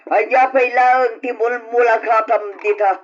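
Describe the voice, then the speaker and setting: A woman's voice: fairly high pitch, steady tone, measured pace, lightly acted. A woman playing a cruel, greedy middle-aged aunt, a scornful and domineering villainess in an old radio drama. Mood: scornful, angry. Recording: dull lo-fi old radio recording.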